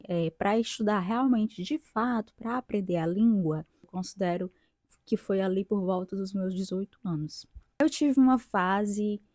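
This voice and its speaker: A woman in her twenties from Brazil, an English teacher and linguist, talking about her own experience in a reflective vlog with pauses and restarts. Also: mid-range voice, dark tone, dry recording, studio quality. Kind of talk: casual personal vlog.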